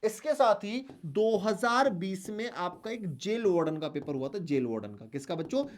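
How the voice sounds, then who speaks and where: A man's voice: high-pitched, animated, normal speed. Male coaching instructor. Directs students step by step, restates key points, addresses them fondly. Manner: addresses students affectionately, instructive, repeats points, asks rhetorical questions. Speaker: maths teacher for exam preparation. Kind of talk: online coaching lesson, strategy talk to students.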